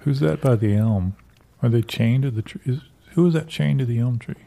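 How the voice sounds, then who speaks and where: A man improvising a comedy sketch with a deadpan delivery. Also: medium pitch, brisk, gravelly.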